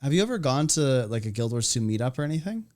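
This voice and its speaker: A man's voice: mid-range voice, sing-song, fast talker, darkish tone. A young Canadian man with a partly American accent, hosting a casual chat and laughing often. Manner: chatty, laughs often, restarts. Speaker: podcast host.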